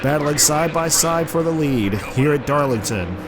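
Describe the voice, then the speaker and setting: A man's voice: a little high, normal speed. A man with a sore, congested throat from flu, giving play-by-play commentary over his own racing game. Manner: play-by-play, excited when the car slides. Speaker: gameplay commentator. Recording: game car noise behind voice. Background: racing game engine noise.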